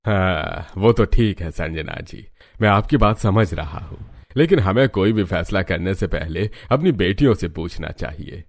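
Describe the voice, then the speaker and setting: A man's voice: sits low, expressive, unhurried, dry recording, heavily acted. A man voicing an indulgent, reasonable middle-aged father in a cartoon family story. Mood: gentle, reasoning. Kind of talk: cartoon family drama dialogue.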